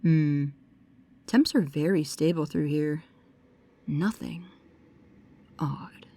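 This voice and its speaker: A woman's voice: low voice, takes it slowly, gravelly, mellow, heavily acted. A woman playing a bookish, precise and nervous young paranormal investigator, speaking to the listener in an audio roleplay. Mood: pedantic, curious. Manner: pedantic, hesitant, earnest.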